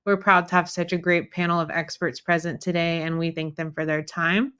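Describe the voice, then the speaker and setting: A woman's voice: on the low side, a little fast, clean tone, lo-fi sound. Woman reading a formal webinar welcome. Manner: reads a prepared welcome in an even, formal way. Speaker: marketing specialist hosting a webinar. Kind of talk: scripted webinar introduction.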